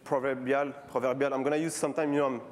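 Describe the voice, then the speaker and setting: Casting note: man, 30s, French accent, relaxed stage talk full of fillers and restarts. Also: medium pitch. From France. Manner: halting, many fillers and restarts; casual, friendly, repeating words. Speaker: street artist giving a talk. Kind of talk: conference talk. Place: stage before a live audience.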